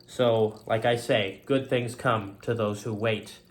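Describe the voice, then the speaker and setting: A man's voice: on the low side, fairly even intonation, slow pace. A man hosting a faith-themed talk show, speaking in an earnest, rambling solo monologue and often circling back to stock phrases.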